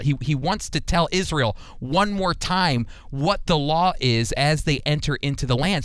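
A man's voice: on the high side, fairly bright tone, clear, resonant tone, no echo. A man hosting a radio Bible teaching program, speaking conversationally and repeating phrases for emphasis.

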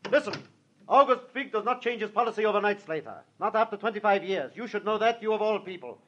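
Stubborn, earnest older businessman, higher register, old radio drama, muffled recording. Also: animated, fast talker, pushed and bright, exaggerated voice. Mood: irritated. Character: earnest, crusty older male factory owner and boss. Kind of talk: 1940s radio drama acting.

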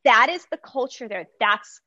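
Greek-native woman, lively storyteller, dry muffled sound. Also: fairly high pitch, normal speed, no room sound. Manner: animated storytelling, frequent fillers. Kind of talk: casual conversation.

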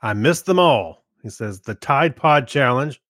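Male co-host, mid-pitched, casual and meandering, searches for words, dry recording. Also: animated, a little slow, fairly bright tone, dry recording. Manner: hesitant, rambling storytelling. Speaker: podcast co-host. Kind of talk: casual chat.

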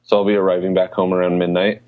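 Deep-voiced man chatting, slightly muffled. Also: flat delivery, fast talker. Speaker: podcast co-host. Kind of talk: casual chat about gaming and travel.

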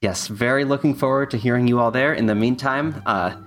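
A man's voice: medium pitch, fairly quick. Man chatting over music. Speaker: podcast co-host. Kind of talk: casual chat with announcements.

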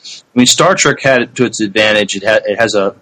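A man co-hosting a podcast, talking casually in a hesitant, halting way with repeated words. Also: lowish voice, bright, forceful tone, low-quality recording. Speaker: podcast co-host. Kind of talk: casual sci-fi news chat.

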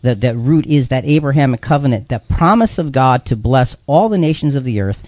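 A man's voice: medium pitch, animated, fairly quick, dry recording, highs cut off. A man hosting a live religious radio discussion, explaining conversationally and repeating phrases for emphasis. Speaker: radio show host.

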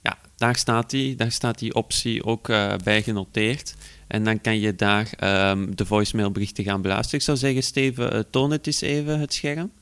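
Clear-voiced Flemish man, hesitant explainer. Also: on the low side, steady tone, normal speed, few pauses, a bit bright. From Belgium. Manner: hesitant, searching for words, explanatory. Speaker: podcast host demonstrating phone features. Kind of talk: casual spoken tutorial.

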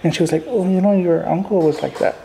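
A woman from Hawaii whose first language is Hawaiian, interviewing in a relaxed, thoughtful way with frequent pauses and fillers. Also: lowish voice, creaky, slight background noise, poor audio. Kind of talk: conversational interview.

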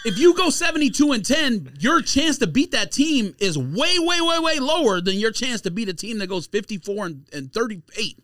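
A man's voice: very high voice, very animated, normal speed, hardly any pauses, a bit bright, no room sound. A man co-hosting a podcast, debating with friends in an insistent, slangy, argumentative way. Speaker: sports and sneaker podcast co-host. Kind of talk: casual debate among friends. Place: podcast studio.

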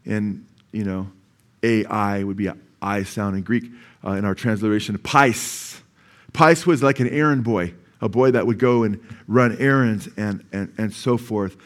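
A man's voice: lowish voice, a little slow. A male pastor with a Californian accent preaching a sermon to a church congregation. He explains word meanings patiently, then builds to emphatic peaks and calls out for amens. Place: church sanctuary.

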